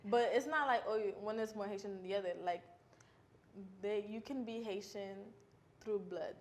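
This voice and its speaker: Teen girl, inquisitive co-host, anecdotal, mid pitch. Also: moderate pace. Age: teens. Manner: inquisitive, tells small anecdotes. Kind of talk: casual chat.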